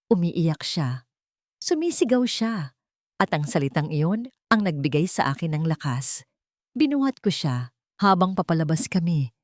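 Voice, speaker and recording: A woman's voice: lowish voice, no echo, clean studio sound. A woman reading a dramatic first-person story as a scripted audiobook narration.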